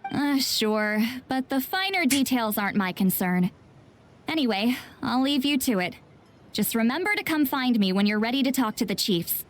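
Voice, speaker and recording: A woman playing a laid-back, sharp-witted shaman in a video game, with a drawling, nonchalant delivery. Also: a little high, expressive, moderate pace, slight background noise, close to an everyday voice. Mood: decisive.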